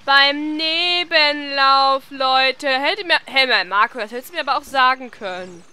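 A young woman giving playful, casual game commentary in a silly, excitable manner. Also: high-pitched, a little slow. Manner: silly, excitable, breaking into exclamations and singsong. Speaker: let's play host.

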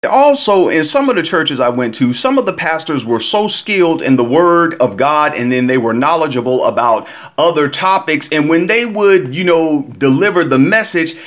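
A man's voice: fairly high pitch, lively intonation, normal speed, few pauses. Emphatic male vlog commentator. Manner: emphatic, preachy, talks straight to listeners. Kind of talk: casual opinion commentary.

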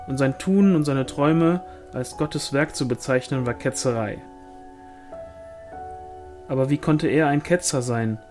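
A man's voice: fairly high pitch, darkish tone. A man reading a fantasy novel aloud as scripted audiobook narration. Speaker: audiobook reader.

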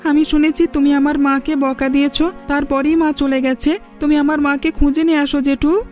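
Woman voicing a high-pitched pleading little boy. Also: little pitch movement, moderate pace, darkish tone, faint music behind, natural voice. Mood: sad, pleading. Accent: Bengali. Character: pleading little village boy missing his mother. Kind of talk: Bengali cartoon voice acting.

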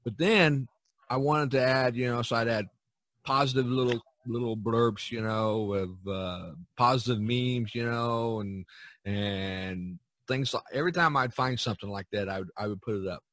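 A man's voice: medium pitch, moderate pace, fairly bright tone, dry recording. Man chatting casually, close dry sound. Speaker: podcast host. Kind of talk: casual chat.

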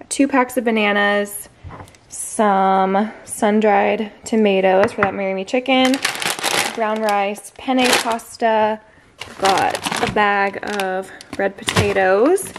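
A woman's voice: mid-range voice, vocal fry, a little hiss or hum. A woman in her thirties chatting casually through a grocery haul in her home kitchen, filmed on a handheld vlog camera. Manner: chatty, upbeat, lots of fillers. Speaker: vlogger.

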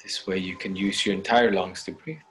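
Low-voiced man leading a guided meditation. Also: fairly even intonation. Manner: unhurried, gentle step-by-step instruction with pauses.

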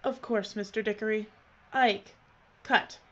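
An actress playing a shrewd, bossy documentary crew leader with a brisk, businesslike manner in an audio drama. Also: female voice, medium pitch, fairly even intonation, lightly acted. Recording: dull, noisy audio.

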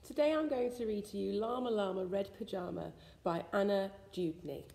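Young lady reading a kids rhyming book, soft-edged tone, real echoey room. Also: mid-range voice, creaky. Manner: deliberate, sing-song storytelling. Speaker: school staff member reading a bedtime story. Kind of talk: expressive read-aloud of a rhyming children's picture book. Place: school room with suspended ceiling, indoors. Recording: echoey indoor room with long reverb.

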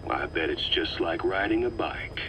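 An actor playing a cold, sardonic military interrogator in a video game, deliberate and accusatory, often sinking into a hushed, breathy near-whisper. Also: male voice, low voice, noisy background, lightly acted. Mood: mocking, pressing. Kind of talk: dramatic video game voice acting.

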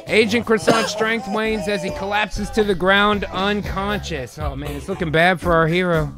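A man's voice: sits high, fairly even intonation, moderate pace, over music. A young man hosting and narrating, switching between dramatic script reading and casual sweary chat, close on a studio mic. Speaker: host and narrator. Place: small home studio. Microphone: boom-arm studio mic and headset.

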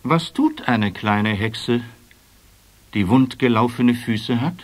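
A man's voice: medium pitch, animated, takes it slowly, faint music behind. A man narrating a scripted children's story as the storyteller of an audio play.

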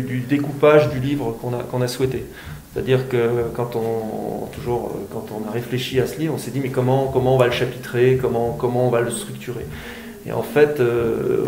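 Man, co-author, panel talk, gravelly, halting, echoey room. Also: medium pitch, a little mellow. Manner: halting, many restarts. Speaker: book co-author. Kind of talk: panel talk at a book evening. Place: small room in a bookshop.

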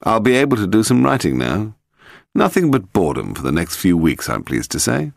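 A gentleman detective in an audiobook mystery, acted by a male reader. He is calm and cheerful, explaining with wry ease. The melody is lively and the sound close and dry. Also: lowish voice, a little fast, creaky, no echo, voice pushed up, exaggerated voice.

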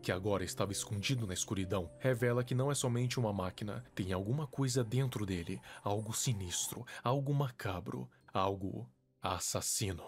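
A man's voice: fairly low pitch, fairly quick, soft background music. A man giving a scripted storytelling narration that explains a mystery. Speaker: video narrator.

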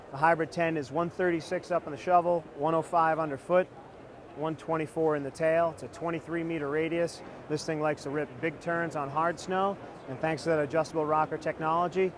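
A confident, fluent man in his thirties walks through a product demo at a trade show booth. His voice sits a little high for a man, and there is a light hum of background noise in the hall. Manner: upbeat, confident, fluent sales talk. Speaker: ski company executive presenting products.

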